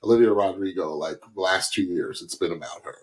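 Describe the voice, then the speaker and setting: A man co-hosting a music podcast, giving casual, opinionated commentary on singers in a halting way. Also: sits low, rough recording. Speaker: podcast co-host, singing critic.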